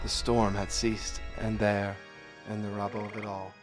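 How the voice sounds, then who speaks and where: Frightened gothic gentleman, male actor: rational, earnest, dramatic delivery, with very prominent music behind. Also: lowish voice, completely flat delivery, normal speed, lightly acted. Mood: awed, urgent. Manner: earnest, anxious, dramatic storytelling. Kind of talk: gothic audio drama acting and narration. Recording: loud music and sound effects underneath.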